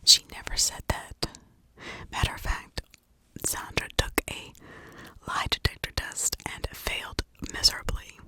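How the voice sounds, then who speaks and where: An American woman whispering an ASMR true crime story in a hushed, informal, conversational way. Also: measured pace, rough recording.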